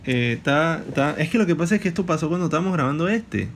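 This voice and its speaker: A young man co-hosting a casual podcast with his partner, telling stories in an animated, joking way. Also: a little high, a little hiss or hum. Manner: joking, animated storytelling with quick laughs. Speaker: podcast co-host. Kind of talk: casual couple podcast chat.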